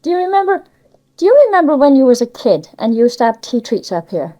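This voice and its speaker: A woman's voice: high-pitched, sing-song, fast, warm tone. An older woman from Cornwall with a Cornish accent, chatting casually about her memories in dialect with lots of tag questions. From Cornwall, England. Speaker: interviewee sharing local memories. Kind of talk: casual reminiscing chat.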